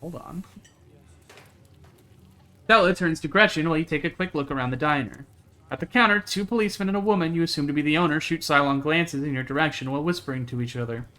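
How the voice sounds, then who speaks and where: A man's voice: a little high, fast talker, sharp tone. A man streaming a game live, reading it aloud and reacting with exclamations and some swearing. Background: game music.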